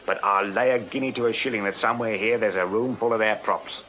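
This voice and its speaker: An actor playing an unyielding Victorian police sergeant in a radio drama, English-accented, brisk, authoritative and dryly sardonic. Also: male voice, lowish voice, steady tone, pushed and bright, lo-fi sound, a little hiss or hum. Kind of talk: full-cast period radio drama acting.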